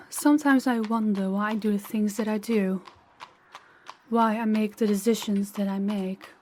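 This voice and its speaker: A woman playing a gentle, candid young princess in an intimate audio roleplay, soft-spoken and confiding with hesitant pauses. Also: mid-range voice, steady tone, a little hiss or hum, natural voice. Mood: wry, resigned.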